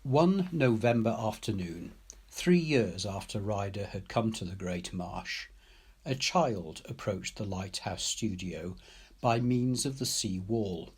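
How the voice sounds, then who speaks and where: A man reading literary fiction aloud with measured, deliberate phrasing. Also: on the low side, animated, darkish tone, breathy edge. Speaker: reader, teacher. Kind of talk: literary story read aloud.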